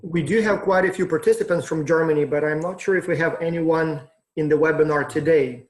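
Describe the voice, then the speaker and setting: A man's voice: fairly high pitch, fairly quick. A man hosting a webinar, guiding the speaker and chatting casually with short encouraging interjections over a video call. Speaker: webinar host. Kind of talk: casual chat moderating. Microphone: computer mic on a video call.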